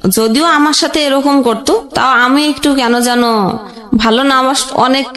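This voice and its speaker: High-voiced young Bangladeshi woman telling her story. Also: normal speed, a bit bright. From Jashore region, Bangladesh. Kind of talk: personal storytelling in conversation. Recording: roomy echoing sound.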